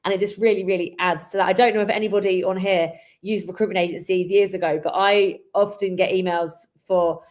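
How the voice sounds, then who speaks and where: A woman speaks as the host of a webinar, with a voice of average pitch. She talks conversationally and explains things in a slightly halting way, doubling back on words as she goes. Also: steady tone, darkish tone.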